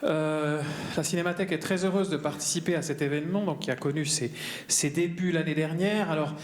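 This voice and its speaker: A man with a fairly high voice welcomes the audience at a cinema. He pauses often and pokes gentle fun at himself. The hall echoes around him, with a faint noise in the background. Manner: hesitant, self-deprecating, chuckling. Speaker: cinematheque representative. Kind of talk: welcome speech.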